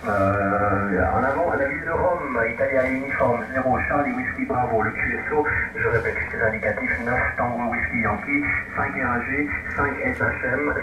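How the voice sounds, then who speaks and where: A jovial, chatty man running an amateur radio conversation and passing the microphone around, heard over shortwave. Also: mid-range voice. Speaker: amateur radio net controller. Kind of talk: casual ham radio chat, passing the microphone. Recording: noisy, muffled, echoey distant shortwave radio reception. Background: loud radio static.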